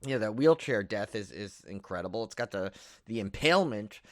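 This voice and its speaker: A man's voice: on the low side, expressive, fairly bright tone, no room sound. Rambling, enthusiastic man on a podcast, dry sound. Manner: rambling, halting, self-correcting, enthusiastic. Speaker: horror movie podcast co-host. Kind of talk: casual film discussion.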